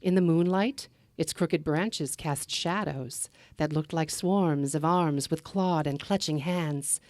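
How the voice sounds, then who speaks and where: Woman storyteller, lowish voice, slow and expressive dramatic narration, dry close sound. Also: no room sound. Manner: expressive, measured delivery with character voices.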